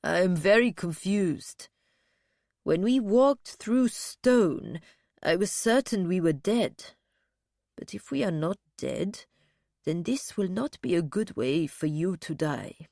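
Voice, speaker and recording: Woman voicing a slow, grim man. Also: on the low side, expressive, creaky, a little mellow, no echo, studio quality, heavily acted. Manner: slow, laborious, blunt. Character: slow-witted grim male captive soldier.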